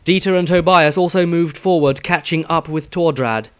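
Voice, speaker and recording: A man's voice: fairly high pitch, normal speed, clean tone. A man reading a scripted fantasy adventure aloud as a dramatic storyteller.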